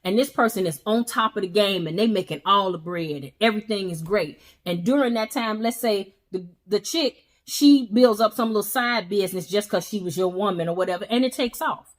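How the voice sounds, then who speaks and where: A woman co-hosting a casual talk show, earnestly giving relationship advice in a relaxed style. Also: medium pitch, a little fast, hardly any pauses. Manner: earnest, advising, emphatic. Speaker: co-host. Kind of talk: casual chat about relationships.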